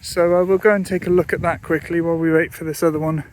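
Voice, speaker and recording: A man's voice: on the high side, level tone, quick pace, poor audio. A man who is a pilot, giving casual vlog commentary outdoors at an airfield. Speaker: pilot and vlogger. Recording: clear outdoor ambient noise.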